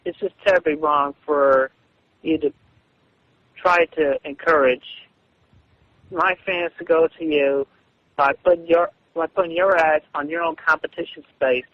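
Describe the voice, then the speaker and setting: A man on a phone call, arguing defensively in a halting, repetitive way. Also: a little high. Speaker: webcomic artist. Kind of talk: phone argument. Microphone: telephone.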